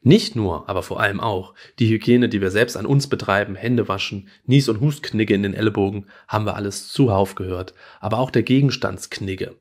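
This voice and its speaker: A man's voice: on the low side, a little fast, hardly any pauses. A man in his thirties, a gynecologist, giving earnest, conversational advice to parents straight to camera in a small room at home. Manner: earnest, conversational, gestures while explaining. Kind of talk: direct-to-camera advice video.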